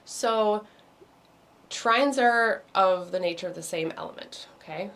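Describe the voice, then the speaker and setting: A woman talks through the week's astrology in a loose, wandering way, pausing on ums and circling back to restart her sentences. Her voice is a little hoarse, as if it is starting to give out. She sounds like she is speaking into a computer on a video call. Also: medium pitch, a bit bright. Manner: meandering, many ums and restarts. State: losing her voice. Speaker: meditation host. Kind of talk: casual astrology talk.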